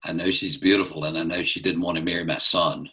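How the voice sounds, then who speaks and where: A man playing a gruff old dad. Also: deep voice, little pitch movement, fast, pushed and bright. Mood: dry. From New York. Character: blunt elderly father, gruff and teasing.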